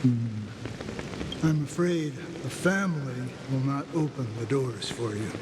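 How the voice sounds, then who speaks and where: An actor playing a grave, calm male sage in a video game, speaking in solemn, measured riddles. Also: medium pitch, big-room sound, background noise, natural voice. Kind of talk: scripted game drama dialogue.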